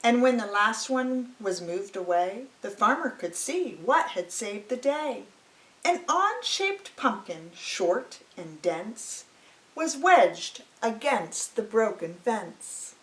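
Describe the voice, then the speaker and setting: A woman reading a children's picture book aloud as a storytime host, telling it dramatically and deliberately, with stretched words. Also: fairly high pitch, big pitch swings, fairly bright tone, echoey room, low-quality recording.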